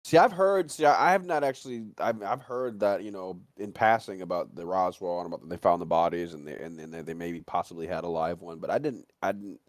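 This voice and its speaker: A man's voice: low-pitched, fairly quick, few pauses, dry recording. Blunt man, dry close sound. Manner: blunt, profane, repeats himself. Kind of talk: casual chat.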